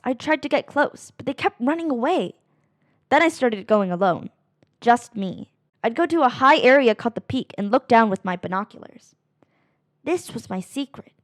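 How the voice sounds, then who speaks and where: Very high, lively woman reading to children. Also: no echo, studio quality. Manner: animated, dramatic emphasis with drawn-out phrases. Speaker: read-aloud narrator of children's books. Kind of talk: expressive children's picture book read-aloud.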